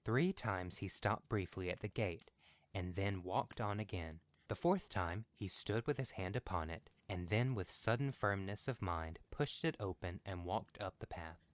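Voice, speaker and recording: A man narrating a scripted story as a podcast reader. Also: low voice.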